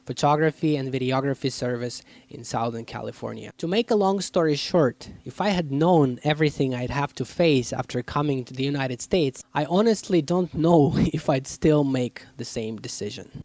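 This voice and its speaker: A man's voice: a little high, darkish tone, no room sound. A young man from Ukraine, speaking with a Ukrainian accent, earnestly narrating his own life story as a channel host. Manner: earnest, reflective, grateful.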